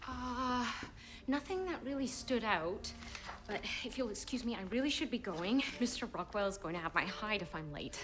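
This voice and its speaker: An eager young woman from a 1920s period drama, played by an actress, with a fairly high voice. She sounds earnest and a little anxious and hesitant, over faint background noise. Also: animated, moderate pace, lightly acted. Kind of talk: television period drama acting.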